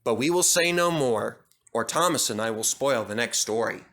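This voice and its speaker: A man reading a children's story as narrator, in an expressive storytelling manner. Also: mid-range voice, moderate pace.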